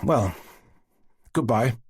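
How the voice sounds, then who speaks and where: A man voicing a reserved young male gentleman in an audiobook, with clipped, restrained speech. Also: mid-range voice, animated, drawn-out words, slightly rough, no echo, clean studio sound, heavily acted. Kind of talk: audiobook character voice.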